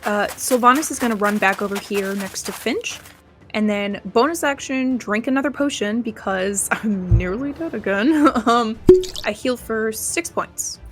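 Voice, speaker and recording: A woman's voice: on the high side, measured pace, faint music behind. A woman playing a tabletop roleplaying game, talking casually through her character's turns.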